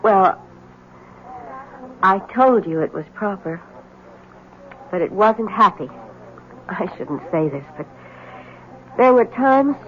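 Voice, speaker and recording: Demure, guarded wife, muffled recording. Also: female voice, mid-range voice, animated, takes it slowly, long pauses, a bit bright. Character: demure, proper, guarded wife suspected of witchcraft. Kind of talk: old-time radio drama acting. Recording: dull, faintly noisy vintage radio recording.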